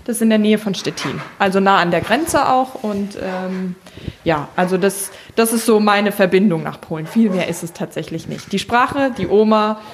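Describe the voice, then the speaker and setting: A woman's voice: medium pitch, moderate pace, a little hiss or hum. A woman from Germany chatting as a talk-show guest, speaking hesitantly with many fillers and light laughs. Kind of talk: casual talk-show chat.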